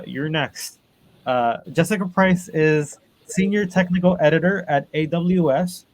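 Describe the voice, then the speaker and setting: A man with a fairly high voice moderates an online panel. He reads the guests' bios over a video call and often pauses on "um" and "uh". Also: a little mellow, low-quality recording. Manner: reads bios with frequent ums and uhs. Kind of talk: webinar introductions.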